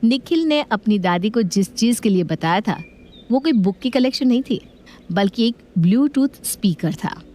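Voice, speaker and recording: A woman's voice: on the high side, lively intonation, moderate pace, dark tone. A woman narrator delivering scripted story narration.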